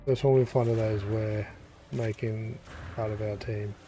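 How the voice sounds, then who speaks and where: A man giving casual commentary as he plays a game, relaxed and thinking aloud. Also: on the low side, measured pace, background noise. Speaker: gaming YouTuber.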